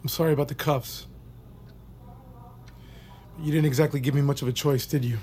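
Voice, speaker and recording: A man playing an uneasy military doctor in an audio drama, questioning in a measured, hesitant, coaxing way. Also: medium pitch, steady tone, warm tone, slight background noise, natural voice. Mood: careful, coaxing. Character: probing, uneasy male military doctor interviewing an accused recruit. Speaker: voice actor in audio fiction. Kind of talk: naturalistic audio drama acting.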